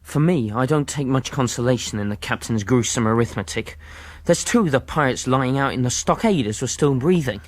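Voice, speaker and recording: An actor playing a frightened, earnest lad with a husky voice, narrating his adventure in a radio drama. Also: medium pitch, expressive, brisk, few pauses, dry recording, natural voice. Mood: shaken, recounting.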